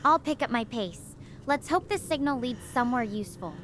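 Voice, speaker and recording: A woman's voice: fairly high pitch, animated, a little fast, a bit bright, slight background noise. A woman voicing a stranded young researcher on an alien world, earnest and thoughtful as she talks through her situation over a video call. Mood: determined. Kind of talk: conversational audio drama over a video call.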